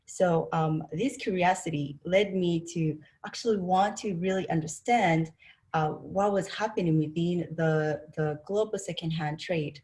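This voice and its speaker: A woman from Rwanda giving an academic presentation over a video call, speaking in a measured way with frequent pauses and fillers. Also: lowish voice, darkish tone. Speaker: presenter, design instructor.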